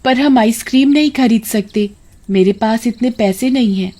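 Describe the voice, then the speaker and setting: A woman voicing a poor, selfless young elder sister in a cartoon story, gentle and hesitant. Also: fairly high pitch, normal speed, lightly acted. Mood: caring, resigned.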